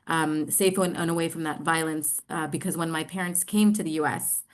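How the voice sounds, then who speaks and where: A middle-aged woman with an American accent and a slightly low voice. She presents to an online group in a halting way, pausing often and stretching out words as she explains. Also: fairly even intonation. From New York, United States. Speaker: social enterprise representative presenting.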